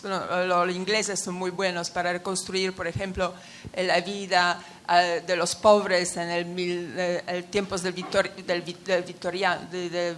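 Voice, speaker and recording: Woman, non-native speaker, low-ish voice, halting and searching for words, faint room noise. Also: fairly bright tone. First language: not Spanish. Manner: searching for words, many fillers. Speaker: audience member asking a question. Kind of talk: conference Q&A.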